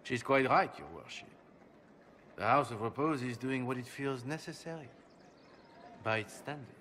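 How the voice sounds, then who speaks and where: A man's voice: medium pitch, expressive, moderate pace, a bit bright, close to an everyday voice. An actor playing a courtly, calculating nobleman in a video game, with a gracious and formal manner. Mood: smoothly matter-of-fact. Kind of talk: video game cutscene acting.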